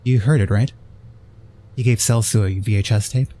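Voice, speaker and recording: A man's voice: lowish voice, fairly even intonation, moderate pace, dark tone, slight background noise, lightly acted. A man voicing an ambitious, opportunistic female TV reporter in a horror game, in an assertive, matter-of-fact way. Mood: brisk, insistent. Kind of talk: reading game dialogue in character.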